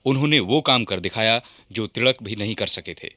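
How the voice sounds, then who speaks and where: Male writer-commentator, emphatic and assured, low-pitched, dry studio, formal radio drama. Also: normal speed, pushed and bright, no room sound. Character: English writer soberly assessing India's freedom movement.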